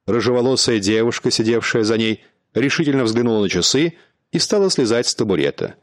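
A man narrating a radio play in a measured, even storytelling manner. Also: mid-range voice, dry recording, studio quality.

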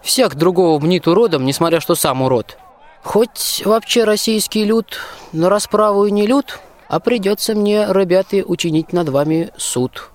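A man's voice: high-pitched, normal speed, close to an everyday voice. A man in a radio play acting a bold, plain-spoken peasant soldier who scolds in folksy rhymed verse. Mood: stern. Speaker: school student actor.

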